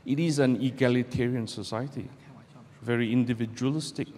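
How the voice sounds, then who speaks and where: A middle-aged man from Malaysia, a barrister giving a measured, deliberate public talk to students from a podium in a lecture hall. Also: mid-range voice, fairly even intonation, darkish tone. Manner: measured, deliberate delivery with pauses. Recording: roomy hall with long reverb, faint background noise.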